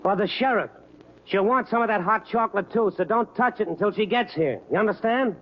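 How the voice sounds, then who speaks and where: A man's voice: high-pitched, fairly quick, noisy background, close to an everyday voice. An actor playing a tough, wisecracking American newspaperman who narrates and acts in an old radio drama, with punchy delivery. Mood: flustered. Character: wry, tough-tender hardboiled newspaperman narrating his night. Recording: muffled old radio recording.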